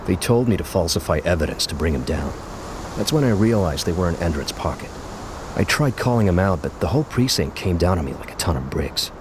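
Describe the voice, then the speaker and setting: A man's voice: low voice, background noise. An actor playing an earnest former policeman turned committed resistance fighter in a video game cutscene.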